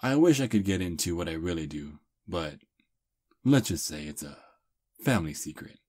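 Man acting a deep, husky, seductive vampire prince. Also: animated. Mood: courteous, charming. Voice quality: husky, breathy. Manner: slow, courtly, softly seductive. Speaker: ASMR roleplay performer. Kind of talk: intimate ASMR roleplay acting.

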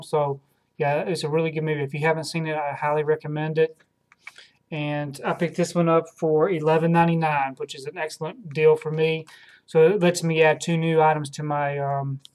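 A man's voice: a little high, a little mellow. A man in his thirties casually showing off used film purchases at home, hesitant, with many ums and restarts, into a desk webcam. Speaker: video host, collector. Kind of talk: casual haul video. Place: home room with shelves of films.

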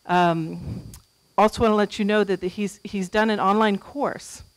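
A woman's voice: medium pitch, fairly even intonation, moderate pace, no echo, rough recording. A middle-aged woman hosting an event, enthusiastically introducing the guest speaker with many fillers. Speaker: event host. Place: small meeting room. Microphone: handheld mic.

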